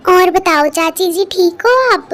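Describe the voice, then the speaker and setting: Woman playing a young daughter-in-law, high voice, bold, frank, outspoken. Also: animated, slow pace, soft background music, full character voice. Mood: sweet. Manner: frank, sharp-tongued.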